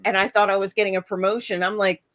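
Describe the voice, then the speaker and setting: A woman in her forties telling stories in casual chat, animated and acting out other people's lines. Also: medium pitch, fast talker. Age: 40s.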